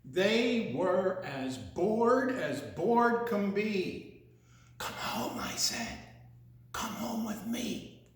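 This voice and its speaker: Slow, high-pitched male storyteller in echoey room. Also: sing-song. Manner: theatrical, singsong, drawn-out delivery with teasing questions. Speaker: children's storyteller. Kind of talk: read-aloud picture-book story with playful asides to young listeners.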